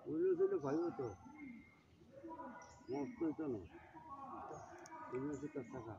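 A man's voice: fairly high pitch, wildly swooping pitch, measured pace, highs cut off. A man chatting casually as he fishes outdoors. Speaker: angler in a fishing vlog. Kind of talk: casual chat. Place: outdoors by fishing water. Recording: noisy outdoor recording with birdsong. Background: birdsong, clear ambient noise.

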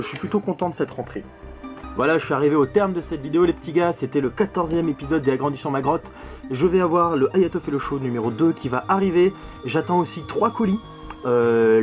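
Higher-pitched young man chatting casually. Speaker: video game collector and channel host. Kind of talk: casual vlog chat, showing purchases to camera. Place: small bedroom full of games, indoors.

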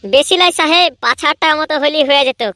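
A woman's voice: sits high, fast talker, sharp tone, no room sound, clean studio sound. A woman voicing a boastful young village lad in a comedy cartoon, with colloquial, argumentative speech. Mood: wheedling. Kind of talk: Bengali comedy cartoon voice acting.

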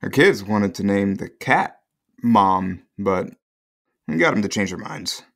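An actor playing a mild, helpful, somewhat oblivious in-law in a naturalistic comedy audio drama. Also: male voice, fairly low pitch, unhurried, fairly bright tone. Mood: mild, offhand.

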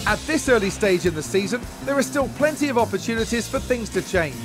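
A man's voice: extremely high pitch, expressive, brisk, music in the background. A man voicing a polished TV race commentator in a racing game, in scripted broadcast style. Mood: measured.

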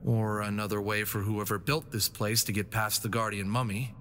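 A man playing a quick-witted, confident fox adventurer, casual and wisecracking, in an audio drama. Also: on the low side, level tone, fairly bright tone, no echo, lightly acted. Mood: casual, explaining. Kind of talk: animated audio drama acting.

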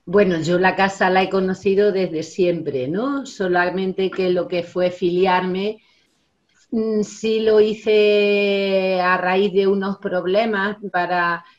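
A woman's voice: mid-range voice, steady tone, slow pace. A woman in her sixties, a teacher, talking in a rambling way full of fillers and tag questions in a casual video-call interview. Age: 60s. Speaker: guest, special education teacher and union member. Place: home study with bookshelves. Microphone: laptop webcam on a video call. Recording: dull lo-fi video call audio.

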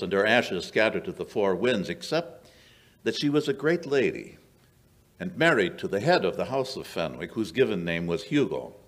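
An older man acts a brisk detective of old-time radio mystery. His voice sits somewhat low and moves with lively intonation. He lectures precisely and knowingly, in a declamatory style. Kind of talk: old-time radio drama acting, scripted. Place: small indoor stage. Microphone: stand microphone.

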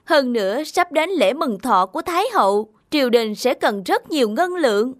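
Woman voicing a high-pitched self-important court minister. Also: lively intonation, moderate pace, no room sound, clean studio sound. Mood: formal. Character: self-serving, flattering male court minister. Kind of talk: animated folk tale dialogue. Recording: faint music underneath.

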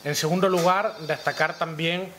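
Young man, Andalusian accent, slightly high voice, formal official address, deliberate phrasing. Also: steady tone, pushed and bright, slight background noise. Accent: Andalusian Spanish. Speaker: regional youth official. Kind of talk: formal press conference speech. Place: indoor press room at town hall. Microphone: gooseneck table microphone.

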